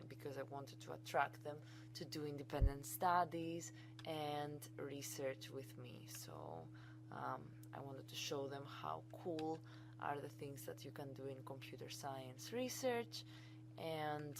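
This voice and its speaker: Low-voiced Greek-accented woman teaching keenly. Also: lively intonation, unhurried, creaky, background noise. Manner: enthusiastic, chatty and informal. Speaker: college instructor. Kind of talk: screencast software tutorial.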